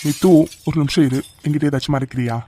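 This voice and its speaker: A man's voice: fairly high pitch, steady tone, fast talker, a little mellow, lightly acted. A young man voicing a doting, protective husband who coaxes and pleads affectionately. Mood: probing. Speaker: voice actor. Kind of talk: audio drama acting.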